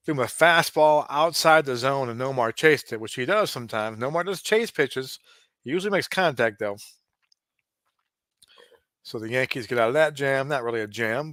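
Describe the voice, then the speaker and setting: A man in his late forties doing casual play-by-play of a simulated baseball game, relaxed and chatty with frequent asides. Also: medium pitch, dry recording, studio quality. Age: 40s. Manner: relaxed, chatty, given to asides and small restarts.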